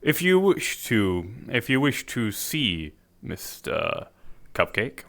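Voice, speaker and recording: A man playing a suave businessman in a suit, speaking in a smooth, formal and faintly sly way. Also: mid-range voice, very animated, slow pace, a bit bright, full character voice. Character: suave suited businessman with groomed hair. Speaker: streamer roleplaying a character. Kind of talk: improvised social-VR roleplay.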